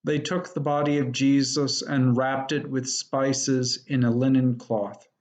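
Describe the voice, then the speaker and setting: A man reading scripture aloud in a solemn, measured, deliberate manner. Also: medium pitch, flat delivery. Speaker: lay scripture reader.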